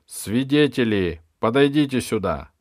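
Villainous fairy-tale bishop, acted by a man: formal, stern, loudly declaiming accusations, very dry recording. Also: medium pitch, expressive, a little slow, no room sound, pitched above the performer's own voice, lightly acted. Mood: commanding.

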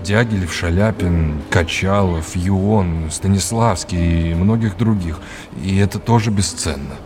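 An actor playing a courteous, erudite art lecturer in an audio drama, with an old-fashioned, didactic way of talking. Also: male voice, deep voice, unhurried, over music. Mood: helpful, informative.

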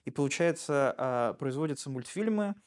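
A man with a medium-pitched voice acts as an interviewer. His delivery is informal and conversational, and he often searches for words while working through a long thought. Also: expressive, normal speed. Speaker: interviewer, host.

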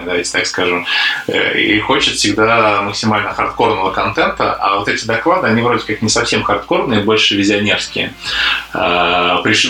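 A man with a low, bright, forward voice talks through ideas in a loose panel chat. He hesitates and fills gaps with "uh" as he thinks. The room around him is noticeably echoey. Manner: thoughtful, hesitant with frequent fillers. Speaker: conference program committee member. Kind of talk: casual panel discussion.

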